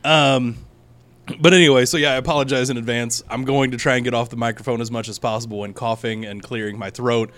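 A man's voice: medium pitch, fairly even intonation, quick pace, a bit bright. Male podcast host, sore throat, rambling, lots of ums. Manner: rambling, restarting, frequent ums. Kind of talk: casual chat.

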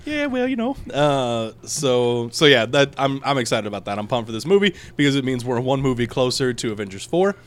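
A man's voice: mid-range voice, very animated, brisk, few pauses, clear, resonant tone. A man hosting a casual podcast chat with a sore, scratchy throat, talking in a rambling way full of ums and restarts. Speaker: podcast host.

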